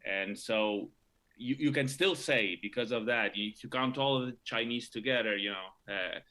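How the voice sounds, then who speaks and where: A middle-aged man from Argentina, a professor guest on a live talk show, speaking haltingly with many restarts and fillers. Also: medium pitch, bright, forceful tone.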